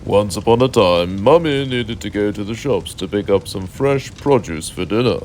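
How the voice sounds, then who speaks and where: A man's voice: lowish voice, lively intonation, moderate pace, darkish tone, a little hiss or hum. A British man narrating a mock bedtime story in an avuncular, mock-cosy way.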